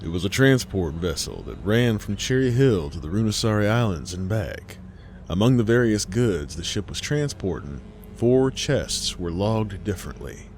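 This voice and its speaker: A man narrating a scary story in a folksy, colloquial way, theatrical and full of dramatic pauses. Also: fairly low pitch, lively intonation, a little mellow, slight background noise.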